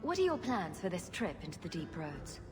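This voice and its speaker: A quippy young game heroine, with a mid-range, lively voice. She is dryly humorous, in long reverb with music behind. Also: on the low side, fairly quick. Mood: wry. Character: quippy, sarcastic young female rogue hero. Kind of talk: video game character dialogue.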